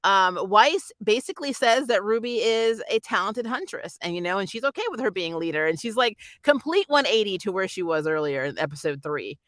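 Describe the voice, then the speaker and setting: Bright-voiced, casual reviewer in a dry room. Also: mid-range voice, lively intonation, brisk, few pauses, no echo. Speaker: host of an anime review channel. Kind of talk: casual spoiler review chat.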